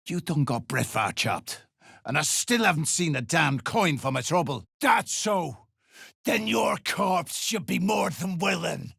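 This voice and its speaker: A man voicing a foul-mouthed, menacing duergar thug in a video game, gruff and coarse with slangy talk. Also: a little high, sharp tone, no echo, studio quality, full character voice. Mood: threatening, furious. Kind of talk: video game character acting.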